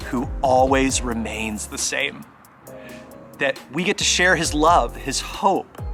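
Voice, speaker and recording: A man giving a conversational sermon as a pastor, earnest and emphatic, speaking directly to the listener and pausing deliberately for stress. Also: medium pitch, a bit bright. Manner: exhorting, addressing the listener directly.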